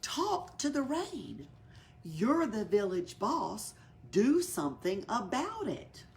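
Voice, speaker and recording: Storybook gecko, played by a woman: male, grumpy, whiny, demanding, raised pitch, crackly creak, some noise behind. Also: very animated, slow, heavily acted. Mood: insistent complaining. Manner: whiny, insistent complaining.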